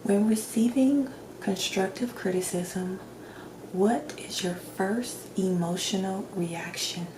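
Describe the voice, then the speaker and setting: A woman doing a soft-spoken ASMR roleplay as a calm doctor, asking questions gently in a hushed, near-whispered voice with long pauses. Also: mid-range voice. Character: calm doctor giving a personality assessment. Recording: roomy echo, clear background noise.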